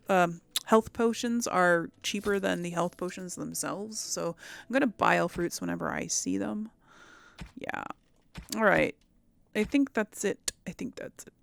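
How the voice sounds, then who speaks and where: A woman's voice: mid-range voice, gravelly, a bit bright, dry recording. A woman giving casual let's play commentary, musing aloud and rambling to her viewers. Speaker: gaming video host.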